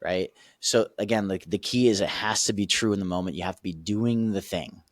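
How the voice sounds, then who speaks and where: A man's voice: low voice, fairly quick, dry recording, clean studio sound. A man on a podcast as a guest coach, explaining his method casually and emphatically, checking in with the listeners as he goes and laughing easily.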